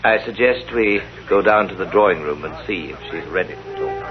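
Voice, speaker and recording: An actor playing a wily, plain-spoken police inspector in a radio drama, matter-of-fact, colloquial and deferential. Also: male voice, low-pitched, fairly quick, music in the background, natural voice. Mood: sheepish, reporting. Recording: dull old radio recording.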